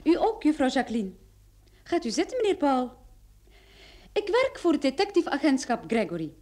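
Cool lady detective in a radio play, high voice, really swooping intonation, keeps pressing. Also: big pitch swings, moderate pace, mellow. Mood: composed, firm. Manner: composed, insistent questioning. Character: cool, probing female private detective. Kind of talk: Dutch-language radio play acting.

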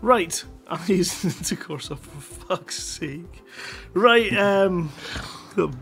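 A man's voice: sits high, takes it slowly, fairly bright tone, faint music behind. A middle-aged man hosting a livestream, chatting casually and rambling in a jokey, self-deprecating way into a headset mic. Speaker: live stream host.

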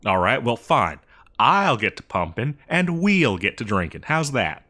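A man's voice: mid-range voice, sing-song, a little slow, pushed and bright, full character voice. Guy doing a folksy old west drifter, exasperated n rambly, voice swings up and down, comedy audio roleplay. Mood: impatient, coaxing. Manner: folksy, rambling, hesitant improvised delivery. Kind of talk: improvised comedic audio roleplay addressed to the listener.

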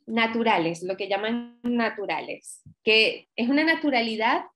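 Lively Venezuelan woman on a video call. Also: a little high, a little slow. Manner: lively, laughs often, acts out quoted lines. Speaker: voice actress and announcer, guest. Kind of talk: casual interview chat. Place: home room, video call.

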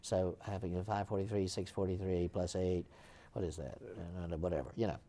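Field: older man, animated talk. Role: theater director interviewed in studio, lapel mic. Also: deep voice, brisk. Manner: animated storyteller, frequent restarts and fillers. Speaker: theater executive director, guest. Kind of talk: studio interview, historical explanation. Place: small TV studio set, seated in armchair.

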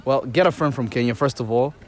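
A man's voice: mid-range voice, a little fast. A young man from Rwanda being interviewed on the street, hesitant and full of fillers, then playful. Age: young adult. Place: outdoors on a park lawn. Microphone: interviewer's phone. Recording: faint outdoor street noise.

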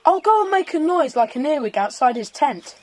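A well-mannered English schoolchild on a camping adventure in a radio play. The voice is high and very expressive, brisk and polite. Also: female voice. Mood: puzzled. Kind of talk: children's audio drama acting.

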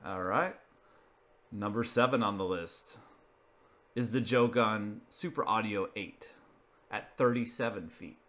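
Low-pitched man narrating a countdown. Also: unhurried, pauses often, fairly bright tone. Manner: matter-of-fact, explanatory. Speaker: video presenter. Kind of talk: informal slideshow narration, listing and explaining.

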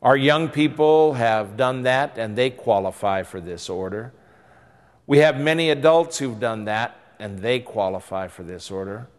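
A man's voice: medium pitch, unhurried, long reverb tail. A man in his sixties, a bishop, earnestly exhorting his diocese in a pastoral address to camera in a church. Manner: earnest, exhorting, rising and falling emphasis. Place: church interior by stained glass windows.

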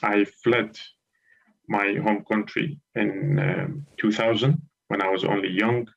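A low-pitched man from Iraq speaks over a dull, lo-fi video call, his voice rough with gravel. He talks in a measured, hesitant way, pausing often on ums. He is a guest lecturer in his thirties joining a live studio show from a room at home. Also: fairly even intonation.

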